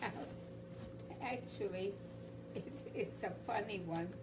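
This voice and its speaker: An elderly woman with a Hungarian accent, hoarse, chatting haltingly and searching for words in a family conversation. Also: mid-range voice, far from the mic, noisy background. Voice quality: gravelly. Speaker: guest grandmother. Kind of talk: casual family chat.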